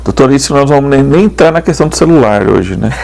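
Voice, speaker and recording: Lively gravelly man hosting a podcast. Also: medium pitch, fast talker. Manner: lively, chatty, sing-song sign-off. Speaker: journalist and podcast host. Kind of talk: podcast interview and sign-off. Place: podcast studio.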